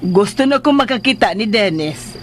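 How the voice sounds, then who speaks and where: An actress playing a breezy, self-assured visiting aunt in a Philippine radio drama, with a languid manner and a Filipino accent. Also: female voice, medium pitch, animated, normal speed, natural voice. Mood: concerned. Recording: music behind the voice.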